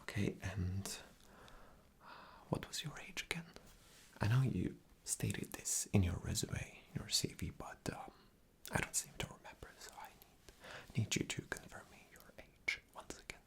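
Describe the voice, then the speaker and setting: Guy doing an arrogant rude boss, asmr whisper, low n gravelly, talks down to you. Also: expressive, normal speed. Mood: impatient, condescending. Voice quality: breathy whisper. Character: arrogant, rude, dismissive male boss interviewing an applicant. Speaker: ASMR roleplayer.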